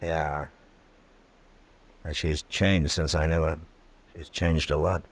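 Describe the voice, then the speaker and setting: An actor playing a laconic, weary frontier marshal in a restrained, terse radio western style. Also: male voice, very deep voice, level tone, takes it slowly, full character voice. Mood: guarded, subdued. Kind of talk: 1950s radio western acting.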